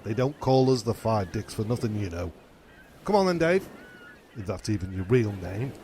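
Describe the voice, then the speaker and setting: A man's voice: lowish voice, lively intonation, moderate pace, dark tone, a little hiss or hum. A man playing a cocky, matey castaway sidekick in a comic radio play, cheeky and easily convinced.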